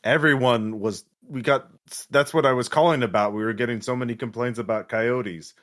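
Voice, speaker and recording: A man's voice: medium pitch, steady tone, a bit bright, close to an everyday voice. Man playing a shaken, agitated veteran cop. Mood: distraught. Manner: agitated, halting, repeats himself. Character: shaken, devastated male Vietnam veteran police officer.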